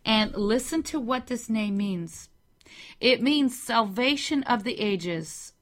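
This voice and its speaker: A woman giving a slow, measured religious teaching, carefully spelling out the meanings of words. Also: fairly high pitch. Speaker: Bible teacher.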